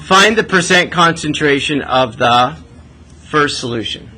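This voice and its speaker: A man's voice: on the high side, expressive, unhurried, bright, forceful tone, a little hiss or hum, rough recording. A man teaching math step by step in a conversational way, asking checking questions as he goes. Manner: conversational, often tags questions with "right?".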